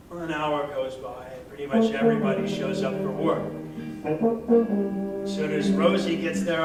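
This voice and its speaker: An American man giving a dramatic first-person reading of a hardboiled short story in a colloquial, streetwise manner. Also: fairly high pitch. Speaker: story reader. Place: indoor arts venue, live performance. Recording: echoey live venue, distant mic, music behind. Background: live guitar, harmonica and percussion music.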